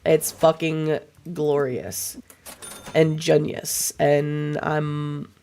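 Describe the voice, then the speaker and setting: A young woman streaming a game, chatting casually to her viewers with a voice still hoarse and froggy from a cold, rambling and swearing freely. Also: low-pitched, level tone, drawn-out words, warm tone. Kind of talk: casual livestream chat while gaming.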